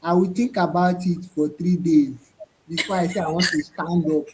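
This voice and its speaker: A man's voice: on the high side, steady tone, moderate pace, a little mellow, a little hiss or hum, low-quality recording. Man, chatty and hesitant. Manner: hesitant restarts, frequent "right?" and "to be honest". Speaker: panelist. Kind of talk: casual roundtable discussion.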